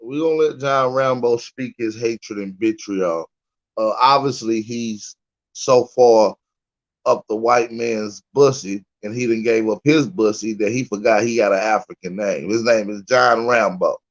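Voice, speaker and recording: A man's voice: fairly low pitch, unhurried, highs cut off. A man co-hosting a call-in stream, talking crude and mocking about callers. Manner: crude, mocking trash talk. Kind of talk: casual streaming banter.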